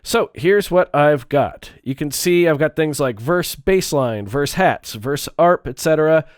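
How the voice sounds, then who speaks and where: A man with a stuffed-up nose, talking through a relaxed, chatty walkthrough of his music gear. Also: fairly high pitch, measured pace, clean tone, no room sound, clean studio sound. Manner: relaxed, chatty, with fillers and small restarts. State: stuffed up, congested. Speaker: music gear video creator. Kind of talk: casual tutorial walkthrough.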